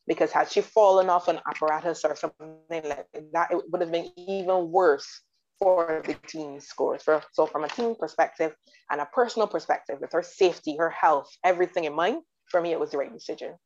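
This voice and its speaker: A woman from Barbados chatting about sports in detail, enthusiastic and quick to laugh. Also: low-pitched, a little mellow, faint music behind. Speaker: guest commentator. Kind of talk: casual sports chat. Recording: dull lo-fi audio.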